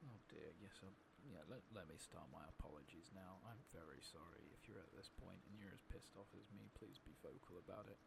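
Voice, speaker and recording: A man with a low voice full of fry speaks softly, as in an ASMR gaming video. He mutters his commentary with mild exasperation. Loud background noise runs underneath. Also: a little fast, few pauses. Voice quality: soft-spoken. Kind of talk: soft-spoken ASMR game playthrough commentary.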